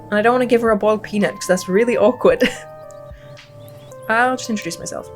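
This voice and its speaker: Expressive woman gamer over music. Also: medium pitch, fairly quick. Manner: casual, expressive. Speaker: gaming video host. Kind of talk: playthrough commentary and read-aloud narration. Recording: music behind the voice.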